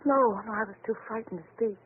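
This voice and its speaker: Woman acting a scared dame in an old radio detective show, highish voice, talks quick, earnest, crackly thin recording. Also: natural voice. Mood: anxious recounting. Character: frightened, mysterious young female amnesiac client. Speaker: actress in a radio detective series. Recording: tinny, noisy 1940s radio recording.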